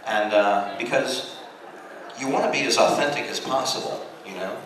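A man's voice: medium pitch, normal speed, fairly bright tone. A middle-aged American man, a voice actor telling casual anecdotes on a convention panel and acting out the exchanges he quotes. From United States. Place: large convention hall, on stage. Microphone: handheld mic through PA, filmed from audience. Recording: echoey hall from far off, noisy.

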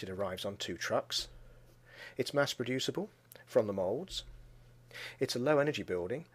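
A man, a school educator, giving a measured conference talk over slides and video, often pausing with "uh". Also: lowish voice, husky. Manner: measured and explanatory, with frequent "uh" pauses.

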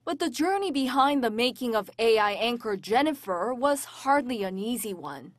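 A woman narrating a news feature in a crisp, measured broadcast read. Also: on the high side, lively intonation. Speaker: reporter narrator.

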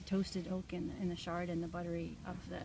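A woman's voice: on the low side, monotone, brisk, gravelly, dark tone, slight background noise. A woman in her forties, a cheesemaker, describing her cheeses in a casual interview on an outdoor patio.

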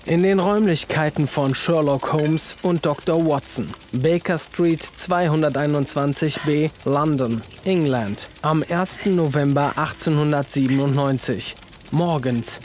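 A man's voice: a little high, a little slow, a little mellow, natural voice. A man announcing the scene in scripted narration for an audio drama.

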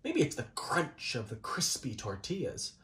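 Male storybook reader, thirties, hugely varied pitch, playful and theatrical delivery for young children. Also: wildly swooping pitch, moderate pace, pushed and bright. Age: 30s. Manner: theatrical, exaggerated, playful delivery with swoops and dramatic gasps. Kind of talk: animated children's picture book read-aloud. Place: small child's room indoors.

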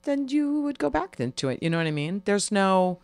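A woman's voice: moderate pace, no echo. A middle-aged woman, a guest actress, chatting wryly and expressively in an interview. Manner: expressive, wry, swings between high and low tones. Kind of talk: casual interview chat.

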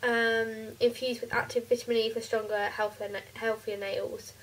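Teen girl, stuffy croaky voice, higher pitch, rambling chatty, bedroom camera mic. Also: level tone, normal speed, distant mic, a little hiss or hum, poor audio. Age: teens. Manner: chatty, rambling with many ums. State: congested with a cold, croaky. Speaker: beauty vlogger. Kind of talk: casual makeup haul chat. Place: bedroom, indoors.

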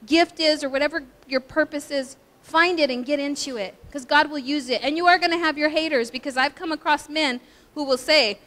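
A high, girlish voice belongs to this middle-aged woman pastor, who speaks well above the usual pitch for a woman. She talks to a women's breakfast in a chatty, animated way, full of asides. A long hall reverb trails her words. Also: brisk.

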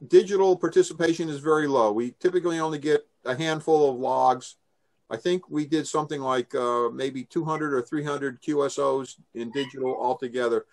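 An older man with a fairly high-pitched voice presents informally. He explains in a conversational way, taking questions over a video call. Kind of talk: informal briefing and question answering. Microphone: video call microphone.

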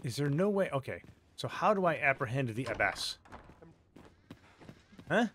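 A man's voice: medium pitch, big pitch swings, normal speed, fairly bright tone, slight background noise. A man hosting a let's play, chatting casually and thinking aloud as he plays, with wry asides. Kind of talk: casual gameplay commentary.

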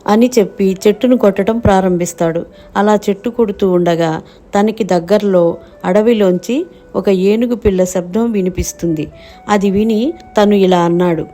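A woman's voice: medium pitch, normal speed, dark tone. A woman giving scripted narration of a children's story.

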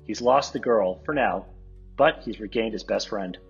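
A man with a husky voice reading stage directions at a script table read. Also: lowish voice, moderate pace, lo-fi sound, soft background music.

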